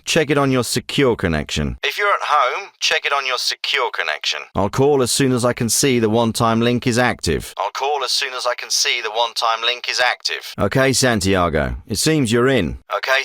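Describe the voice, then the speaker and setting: A man's voice: mid-range voice, sharp tone, no echo, studio quality. A man voicing a businesslike military intelligence handler in a video game, giving mission briefings with clipped, purposeful delivery.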